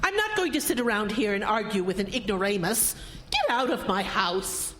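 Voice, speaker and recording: A woman's voice: medium pitch, sing-song, moderate pace, a bit bright. A woman playing a haughty, pious, wealthy spinster in an audio drama, speaking in a formal, imperious, prickly way. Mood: furious, commanding.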